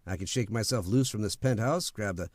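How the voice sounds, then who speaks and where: A man's voice: lowish voice, fairly quick, darkish tone, no echo, studio quality, natural voice. A man playing a blunt, easygoing, footloose suitor with a casual, candid manner in a radio drama. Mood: breezy. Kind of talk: old-time radio drama acting.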